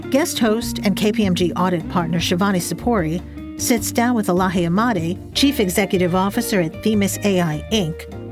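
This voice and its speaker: A woman reading a scripted podcast introduction in a smooth, even voice. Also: mid-range voice. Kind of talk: scripted narration.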